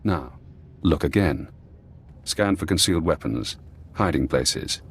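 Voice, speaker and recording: Imprisoned intelligence analyst, low male voice, measured, cryptic, quietly paranoid game character. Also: animated, a little hiss or hum, exaggerated voice. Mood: coolly testing. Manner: measured, cool, cryptic instructions. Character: paranoid, calculating imprisoned former spy analyst, adult man. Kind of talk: acted video game dialogue.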